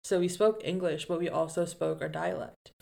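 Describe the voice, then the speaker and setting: A woman's voice: fairly low pitch, steady tone, normal speed, gravelly, darkish tone. A young woman from the Philippines, a podcast co-host chatting in a giggly, easygoing way. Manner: giggly, chatty, lots of fillers. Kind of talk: casual chat.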